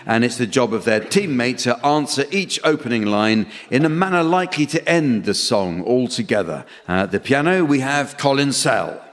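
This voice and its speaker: Deadpan man hosting in a reverberant hall. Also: mid-range voice, normal speed, few pauses, crowd noise. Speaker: chairman of a comedy panel show. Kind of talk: scripted comic hosting with dry asides. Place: theatre stage before a live audience. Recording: hall reverb, audience and background noise.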